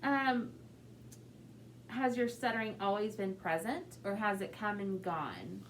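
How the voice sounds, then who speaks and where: Slow, gentle woman interviewer. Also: medium pitch, lively intonation, slight background noise. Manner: encouraging, frequent short backchannels. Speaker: speech language pathologist.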